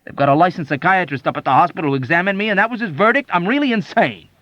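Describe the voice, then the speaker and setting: A frantic young airman, as a male reader puts him on, talks in a raised, insistent voice full of exasperation. His delivery jumps between highs and lows. The sound is dry and somewhat dull. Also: expressive, very fast, no echo. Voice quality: urgent, emphatic. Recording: dull dry audiobook recording.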